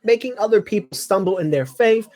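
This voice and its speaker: Young man, mid-twenties, fairly high voice, casual live chat, rambling, full of filler words. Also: lively intonation, a little fast, a little mellow. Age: 20s. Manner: clears throat often. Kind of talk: casual live chat reading viewer comments.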